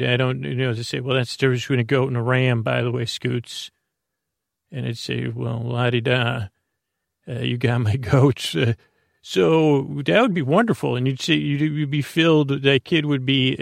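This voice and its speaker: A man with a creaky, gravelly voice delivers a whimsical, rambling monologue. He halts, repeats himself and drifts off mid-thought in a playful way. The sound is very dry and close. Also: mid-range voice, no room sound. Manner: meandering, halting, repeats and trails off mid-thought; gently silly, playful wordplay. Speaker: podcast host.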